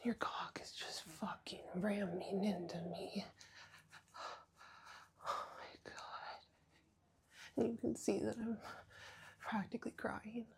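A woman whispering an intimate roleplay monologue close to the mic, breathless and pleading, with soft moans between words. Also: mid-range voice, steady tone, slow pace, creaky, pauses often. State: aroused and breathless. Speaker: audio roleplay performer.

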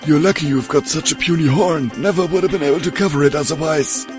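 A man's voice: a little high, fast, over music, voice pushed up, natural voice. A man voicing a wild, teasing male pony companion with a cackling manner, in an audiobook.

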